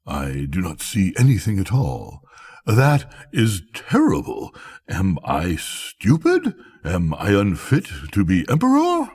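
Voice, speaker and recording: A vain storybook emperor played by a man, low-pitched and a little husky. He sounds ponderous and self-questioning, an insecure ruler trying to keep up appearances. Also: wildly swooping pitch, slow pace, a little mellow, exaggerated voice. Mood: dismayed. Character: vain, insecure male emperor, privately anxious.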